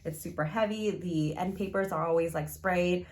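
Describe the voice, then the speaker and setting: A woman's voice: on the low side, moderate pace, a little mellow. A woman chatting casually as a book vlogger, gushing and rambling informally with frequent asides.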